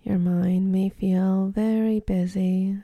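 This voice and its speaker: A woman guides a meditation slowly and gently, leaving long pauses. Her voice is soft and breathy, often almost whispered, with some creak at the edges. The sound is close and dry, soothing throughout. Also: medium pitch, level tone, dry recording, highs cut off.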